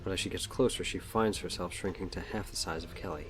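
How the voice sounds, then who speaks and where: A man's voice: deep voice, fairly even intonation, quick pace, a bit bright, faint music behind. A man narrating a scripted audio drama, describing the action as the story's narrator.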